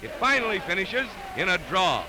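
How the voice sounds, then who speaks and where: A man's voice: high voice, big pitch swings, moderate pace, a crowd in the background, background noise. A man announcing a scripted 1930s newsreel with dramatic, florid delivery on an old film soundtrack. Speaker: newsreel narrator. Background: music.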